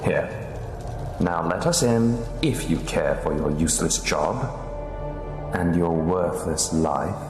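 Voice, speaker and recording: Cold armed envoy, low register, contemptuous, dark fantasy game cutscene, reverberant, music behind. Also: male voice, slow pace. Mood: cold, threatening. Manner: curt, threatening, contemptuous. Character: cold, menacing armed envoy of a knightly order.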